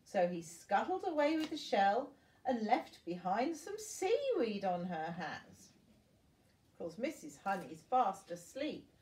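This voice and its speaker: A woman's voice: mid-range voice, big pitch swings, slow pace, darkish tone. An older woman reading a storybook aloud to young children, expressively, like a grandmother at bedtime, with little asides to her listeners. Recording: roomy echo, distant from the mic.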